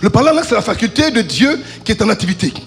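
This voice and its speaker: Man, pastor, fiery sermon, sing-song shouting, lively pitch, soft music behind. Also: rapid-fire. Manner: preaching with sung, shouted crescendos then dropping low; asks the congregation questions and answers them himself. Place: church service.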